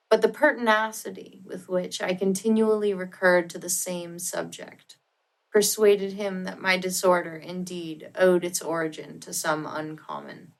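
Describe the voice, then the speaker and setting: Expressive woman narrating a classic novel. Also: fairly low pitch, low-quality recording. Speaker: audiobook narrator. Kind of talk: dramatic literary narration.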